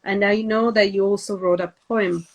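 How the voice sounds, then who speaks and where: A woman's voice: medium pitch, fairly even intonation, normal speed, poor audio. Woman hosting casually, polite and tentative. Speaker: interviewer, host. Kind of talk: casual live interview.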